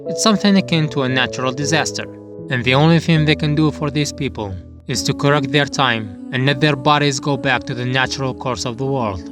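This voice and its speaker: A man giving a scripted storytelling narration for a manga review channel. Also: medium pitch.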